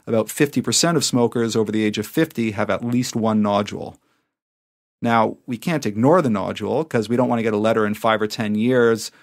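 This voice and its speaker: A man's voice: fairly low pitch, creaky. A man hosting a medical podcast in a conversational interview style, explaining, recapping points and asking questions. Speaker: podcast host, emergency physician.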